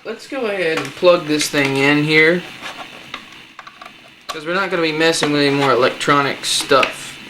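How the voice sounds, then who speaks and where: A man's voice: a little high, moderate pace. A man giving a casual hands-on tutorial, informally talking through each step as he works. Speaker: presenter of a DIY build tutorial. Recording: noisy amateur home recording.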